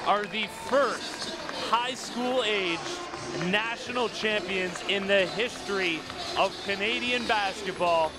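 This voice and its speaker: A man announcing a championship win in a drawn-out, shouting style. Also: sits high. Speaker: arena announcer. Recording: loud arena crowd and music, from far off.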